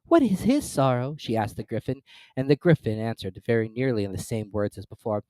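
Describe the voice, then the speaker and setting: A narrator giving an expressive, dramatic reading of a classic children's story. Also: medium pitch, a little fast, no echo.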